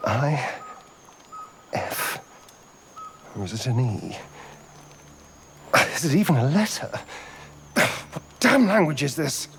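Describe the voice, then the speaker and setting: Pale elf rogue, theatrical, prickly, very animated pitch, slow pace, video game dialogue. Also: male voice, faint music behind, low-quality recording. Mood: frustrated.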